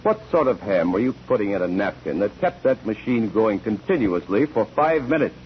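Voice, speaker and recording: Radio drama investigator, American, low and lively, articulate, relentless, old radio sound. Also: male voice, normal speed. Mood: probing. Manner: precise, articulate, probing. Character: sharp, relentless male courtroom investigator, composed and methodical. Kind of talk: 1940s radio drama acting. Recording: dull, noisy 1949 radio recording.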